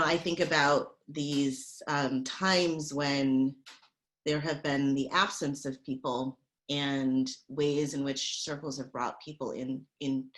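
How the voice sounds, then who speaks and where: A woman with a very low voice, speaking as a panelist. She hesitates often, restarting phrases as she gathers her thoughts. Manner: hesitant, with many fillers and restarts. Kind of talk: reflective panel talk.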